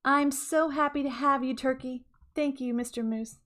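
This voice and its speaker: A woman plays a gentle lady moose in a children's storybook. Her voice is bright and sweet. She sounds homely, earnest and a little wistful. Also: high voice, steady tone, moderate pace, pitched above the performer's own voice. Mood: happy, welcoming.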